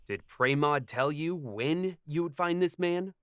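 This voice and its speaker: A man's voice: fairly high pitch, unhurried, fairly bright tone, clear, resonant tone, dry recording, studio quality. A man playing an inquisitive, incredulous traveling companion in a fantasy audio drama.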